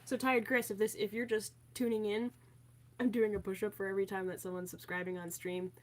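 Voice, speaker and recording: A woman's voice: medium pitch, little pitch movement, fairly quick, a little hiss or hum. A woman from Maryland chatting casually on a live stream, reading viewer comments aloud in a playful, chatty way. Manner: chatty and playful, with many fillers and asides.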